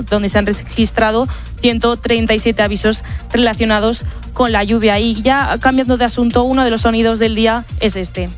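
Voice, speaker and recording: A woman with an accent from Spain presenting a live radio news bulletin. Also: mid-range voice. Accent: Spanish (Spain).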